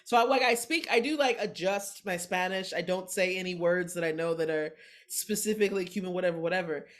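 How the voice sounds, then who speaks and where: A woman's voice: fairly low pitch, brisk. A woman of forty, a comedian guest, telling stories in a casual podcast chat and acting out the voices of people she quotes. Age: 40s. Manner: animated storytelling, acts out quoted voices.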